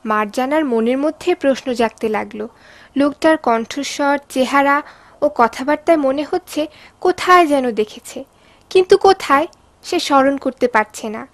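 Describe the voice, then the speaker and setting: A woman reading scripted story narration for an animated cartoon. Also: sits high, normal speed, no room sound.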